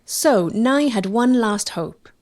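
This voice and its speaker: A woman's voice: on the high side, expressive, slow, a little mellow, dry recording, clean studio sound. A woman reading scripted narration as the narrator of a documentary.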